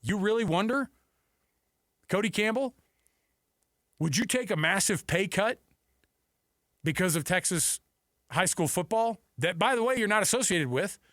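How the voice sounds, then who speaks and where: A man's voice: sits high, lively intonation, a little slow, pauses often, fairly bright tone, dry recording, studio quality. A man hosting sports talk radio, giving opinions emphatically and repeating his points for weight. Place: radio studio.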